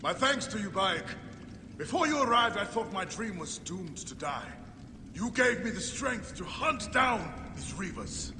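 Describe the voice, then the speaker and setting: Tahaka, high-pitched fervent Egyptian leader. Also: male voice, sing-song, unhurried, over music. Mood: earnest, grateful. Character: Tahaka, fervent proud male visionary leader in ancient Egypt. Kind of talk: dramatic video game acting. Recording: reverberant, echoing, with noisy ambience.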